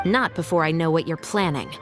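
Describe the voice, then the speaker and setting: A woman's voice: low-pitched, a little fast, natural voice. A woman playing a composed, by-the-book patrol captain in a video game, dry and wry in manner. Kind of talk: video game character voice acting. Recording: game music behind the voice. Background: game music.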